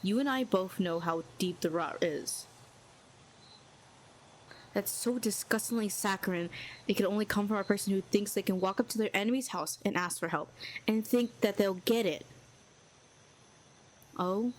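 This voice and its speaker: A woman's voice: medium pitch, moderate pace, pauses often, slight background noise. A woman playing a proud, lonely telepathic villain who talks in a sardonic, cool and needling way. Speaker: audio roleplay performer. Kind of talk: scripted audio roleplay.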